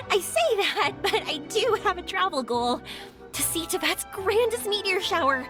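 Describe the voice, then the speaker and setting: Bubbly young game girl, high lively voice, chatty and friendly, faint music. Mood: bubbly, carefree. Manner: chatty, enthusiastic, exclamatory. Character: bubbly young woman firework maker, friendly and adventurous. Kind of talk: video game voice acting.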